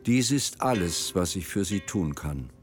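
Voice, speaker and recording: A man's voice: deep voice, animated, measured pace, over music. A man reading scripted narration for a radio drama.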